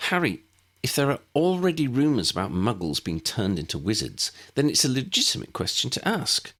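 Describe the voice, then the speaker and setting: A man's voice: mid-range voice, big pitch swings, a little fast, a bit bright, pitched above the performer's own voice. A man voicing an earnest, sensible young woman witch with a precise, insistent manner in an audiobook. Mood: argumentative.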